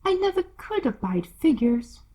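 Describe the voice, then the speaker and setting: Storybook Duchess, played by a female reader: bossy, scornful, raised pitch, hoarse growl, slow emphatic bite. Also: big pitch swings. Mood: scornful, snapping. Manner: curt, loud, drawn-out emphatic delivery. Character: rude, violent, bossy Duchess, snapping at everyone.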